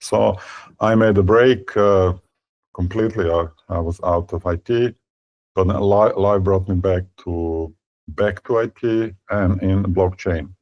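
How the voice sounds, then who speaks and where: A man in his fifties from Serbia, speaking as a guest in an interview. He talks haltingly, with many fillers and repeated words. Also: low voice, steady tone, a little mellow, dull audio. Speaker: tech company founder, guest. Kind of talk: conversational interview.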